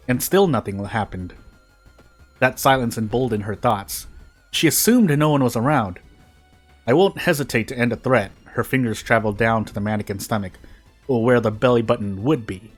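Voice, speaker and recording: A man reading a story aloud in deliberate phrases with short pauses. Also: medium pitch, animated. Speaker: fan fiction reader on a video channel. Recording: music behind the voice.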